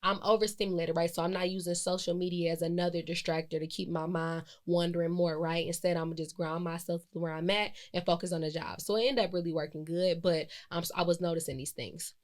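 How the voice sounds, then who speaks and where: A woman's voice: fairly low pitch, fairly even intonation, quick pace, hardly any pauses. A woman, hosting a solo podcast in a chatty, reflective way, with many fillers and self-corrections. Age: 20s. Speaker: podcast host. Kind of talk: casual solo podcast monologue.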